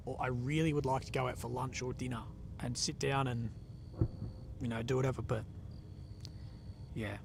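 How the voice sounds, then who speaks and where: Young man, congested, relaxed rambling live-stream chat; mid-pitched voice, noisy open-air sound. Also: moderate pace, creaky, pauses often. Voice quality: stuffy nose, a bit unwell. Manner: relaxed, rambling, lots of fillers and "like". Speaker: livestreamer reporting from a conflict zone. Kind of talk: casual live-stream chat reading comments. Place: outdoors at night in a war zone.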